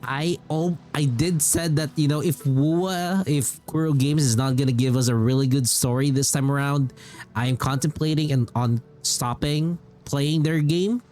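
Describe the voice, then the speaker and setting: A man's voice: a little high, moderate pace, a little mellow. A man, casually rambling as a game streamer and repeating phrases for emphasis. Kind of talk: casual livestream chat about games. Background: music.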